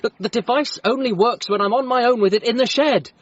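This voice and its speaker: A man's voice: very high voice, brisk, dull audio. A man acting an agitated, stammering, grieving son who is convinced of an alien conspiracy in a radio comedy drama. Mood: agitated, insistent. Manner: stammering, insistent.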